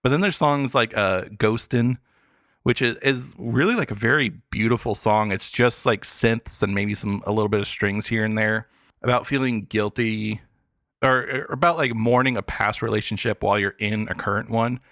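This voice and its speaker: A man with a fairly low voice talks casually on an arts podcast. He explains haltingly, full of filler words, and the sound is dry and close. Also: a bit bright, no room sound. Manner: hesitant, filler-heavy explaining. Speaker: podcast guest reviewing pop albums. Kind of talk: casual arts talk chat.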